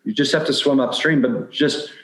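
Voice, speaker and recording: A man in his forties speaks as a guest coach, offering advice conversationally. His voice sits at an average pitch, and the recording is noticeably roomy and echoey. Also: normal speed, a bit bright. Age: 40s.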